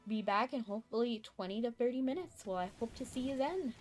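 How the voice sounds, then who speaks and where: A woman streaming a video game, chatting casually and thinking out loud as she plays, with a chuckle here and there. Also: on the high side, lively intonation, fast. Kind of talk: casual live-stream chat while gaming. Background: faint game music.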